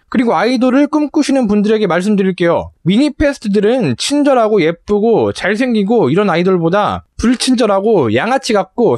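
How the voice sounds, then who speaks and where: A man with a distinctly high voice delivers opinion commentary as a host. His manner is sarcastic and mocking as he presents his argument. The sound is very dry, with almost no room reflection. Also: darkish tone, no room sound. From South Korea.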